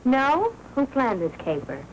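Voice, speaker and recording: Animated brassy noir gangster's wife. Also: female voice, sits high, measured pace, faint music behind, heavily acted, poor audio. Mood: defiant. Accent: American. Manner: indignant, challenging. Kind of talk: 1940s film noir acting. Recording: old 1940s film soundtrack.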